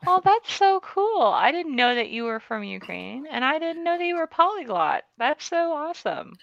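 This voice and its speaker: A woman hosting a casual podcast chat, talking in a bubbly, enthusiastic way and quick to praise her guest. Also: sits high, very animated, creaky, a bit bright, no echo. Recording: dull dry recording.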